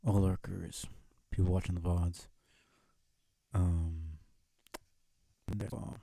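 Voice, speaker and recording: Man, livestream host, very mellow dark tone, dry close sound, muttered casual chat, laid-back. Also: low voice, fairly even intonation, slow, gravelly, no room sound.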